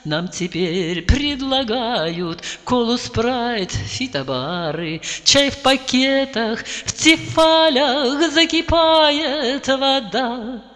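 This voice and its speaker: A woman singing a folk-style song with melodic, drawn-out phrasing. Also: high-pitched, lively intonation, fairly bright tone. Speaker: singer. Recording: long reverb from a hall. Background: instrumental music accompaniment.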